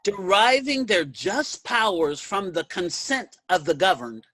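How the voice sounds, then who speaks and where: A woman playing a stirring suffrage orator in reader's theater, declaiming with deliberate emphasis. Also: mid-range voice, lively intonation, fairly bright tone, no echo. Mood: solemn, formal.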